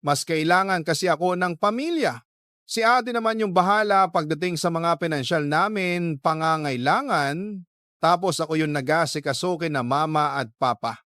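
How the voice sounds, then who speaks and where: A man's voice: a little high, normal speed, pushed and bright, no echo, studio quality. A man voicing a self-sacrificing young woman in a radio drama, hesitant and resigned.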